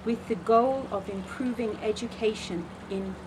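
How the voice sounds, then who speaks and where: A woman's voice: on the high side, normal speed. A middle-aged woman, a charity founder and project leader, explaining her program steadily in a documentary interview. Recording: clear background noise with passing vehicles.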